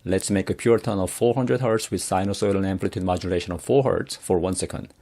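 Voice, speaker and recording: A man's voice: low voice, a little fast, dark tone. A man narrating an instructional screencast, explaining plainly and step by step. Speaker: software tutorial narrator.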